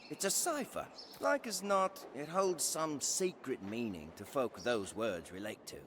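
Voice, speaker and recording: An actor playing a wily, mercenary reader of old scripts in a fantasy game, with colloquial archaic speech. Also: male voice, medium pitch, expressive, unhurried, fairly bright tone, a little hiss or hum. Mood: matter-of-fact. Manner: colloquial, archaic, swooping delivery.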